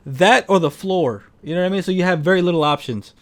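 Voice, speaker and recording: A man's voice: high-pitched, fast talker. A man co-hosting a casual film and video game chat, speaking in an opinionated, blunt way. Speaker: podcast co-host reviewing films.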